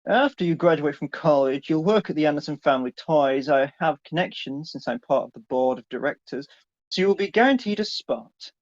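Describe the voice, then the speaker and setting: Man acting a stern, higher-pitched domineering father. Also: animated, a little fast, highs cut off, natural voice. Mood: dismissive. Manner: curt, dismissive, commanding. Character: stern, domineering middle-aged businessman father. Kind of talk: amateur dramatic reading of a story.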